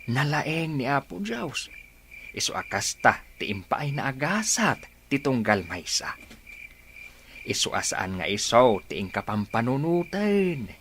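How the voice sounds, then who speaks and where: A man playing a gentle, devout old father in a radio drama, tender and sighing in manner. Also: medium pitch, sing-song, measured pace, a little hiss or hum. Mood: caring, resigned.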